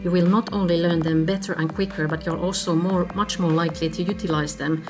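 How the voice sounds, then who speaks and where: Finnish woman in her 50s, low steady voice, kinda even tone, talks it through carefully with little pauses. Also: over music. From Finland. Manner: measured, explanatory, pauses to find words. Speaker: podcast guest, agency CEO. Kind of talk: conversational interview answers.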